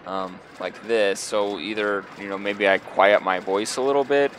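A young man talks through his gameplay in a deep, quiet voice that sits a little low. He rambles in a relaxed way, thinking aloud and padding with fillers as he goes. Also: normal speed, a little hiss or hum. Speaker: gameplay video host. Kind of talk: casual gameplay commentary.

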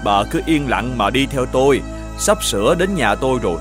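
A man voicing a humble village husband in an animated folktale, deferential and pleading in manner. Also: mid-range voice, moderate pace, over music, natural voice. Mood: earnest.

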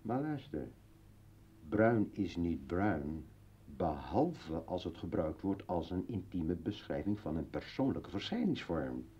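An actor playing a brooding, philosophical old man with bitter irony in a declamatory, halting radio play monologue. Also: deep voice, big pitch swings, mellow, a little hiss or hum, full character voice. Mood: musing, ironic. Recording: dull vintage radio drama recording.

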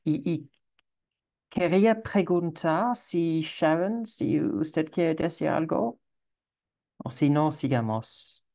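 Halting man moderating a video call. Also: fairly high pitch, animated, vocal fry, mellow. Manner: halting, frequent restarts. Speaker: session moderator. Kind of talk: online consultation session.

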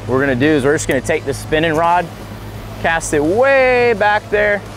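A man in his thirties, a fishing vlogger, talking casually and excitedly on camera from a small boat on the open ocean, recorded on a boat-mounted camera. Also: fairly high pitch, moderate pace, a little hiss or hum. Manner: excited, breaks into whoops and laughs while fighting fish.

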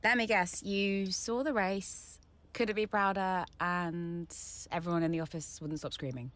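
A woman's voice: fairly low pitch, steady tone, measured pace, fairly bright tone. A woman playing a cocky, teasing young British racing driver with dry, playful banter in a video game cutscene. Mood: wry, affectionate. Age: young adult.

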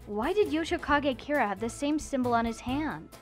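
A woman's voice: high-pitched, animated, normal speed, soft background music. A woman voicing an agitated young woman who swings between confusion and anger, in a fan dub of a comic. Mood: uneasy. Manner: anxious, indignant, wavering.

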